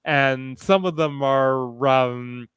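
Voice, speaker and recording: A man speaking as a panelist in a fan discussion, hesitant and thoughtful, often halting as he phrases things. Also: mid-range voice, steady tone, fairly bright tone, smooth, no echo, clean studio sound.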